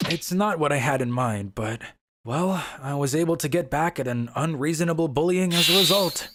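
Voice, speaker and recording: A woman's voice: sits low, animated, normal speed. A woman voicing a scheming, cowardly teenage schoolboy in a manga dub, boastful one moment and stammering excuses the next. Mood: puzzled. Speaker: voice actor. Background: background music.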